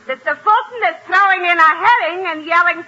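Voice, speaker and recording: An actress playing a fussy, emphatic Bronx housewife with a Yiddish accent and mangled word order in a 1940s radio comedy. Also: female voice, very high-pitched, a little fast, pushed and bright. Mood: proudly matter-of-fact. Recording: muffled, noisy vintage radio broadcast.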